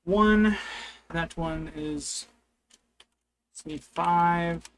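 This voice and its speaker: A man's voice: on the high side, extremely slow, a bit bright. A man streaming from a hot room, his voice crackly and strained, chattering to himself while he plays. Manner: rambling, talking to himself while playing. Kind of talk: casual live-stream chatter. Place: hot, humid room with two PCs.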